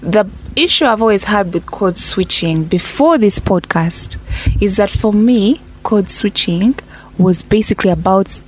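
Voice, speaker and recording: A woman's voice: mid-range voice, measured pace, slight background noise, rough recording. Chatty Ugandan woman, "yeah?" tags. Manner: animated, tags sentences with "yeah?" and "you see". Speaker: podcast co-host. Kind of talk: casual chat.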